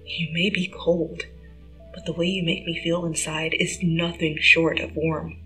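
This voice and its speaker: A woman voicing a teasing young male superhero who whines playfully and turns soft and tender. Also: fairly high pitch, animated, moderate pace, faint music behind, voice pushed up, rough recording. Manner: whiny and playful, soft and tender when sweet.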